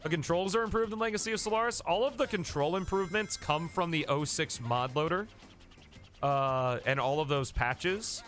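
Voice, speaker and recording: A man's voice: on the high side, normal speed, fairly bright tone, faint music behind. A man live-streaming a game, chatting casually and thanking viewers by name, a bit sniffly from a coming cold.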